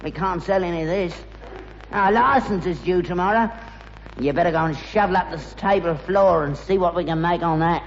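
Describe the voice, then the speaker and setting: A man's voice: sits high, moderate pace. An actor playing a grumbling, scornful old rag-and-bone father in a British sitcom, carping and whining in a London accent. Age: older. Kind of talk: British sitcom acting before an audience. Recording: muffled, reverberant old sitcom soundtrack, faint noise.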